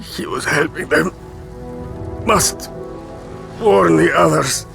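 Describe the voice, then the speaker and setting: A man's voice: fairly high pitch, slow pace, vocal fry, a bit bright, faint music behind, full character voice. A man playing a wounded resistance man, weak and struggling to speak, in a video game cutscene. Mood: pained.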